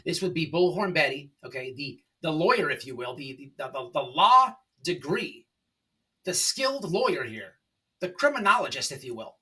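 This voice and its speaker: A man hosting an informal opinion commentary, speaking in a halting, repetitive, emphatic and sarcastic way. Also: on the high side, big pitch swings, bright, forceful tone, recorded from a distance, low-quality recording. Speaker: online commentary show host.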